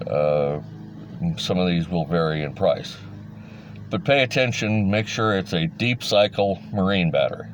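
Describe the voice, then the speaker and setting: A man's voice: sits low, animated, measured pace, slight background noise. A middle-aged man giving a casual, rambling, plain-spoken explainer in a folksy way, like a hobbyist talking to fellow enthusiasts. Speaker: hobbyist presenter. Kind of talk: casual informal explainer monologue.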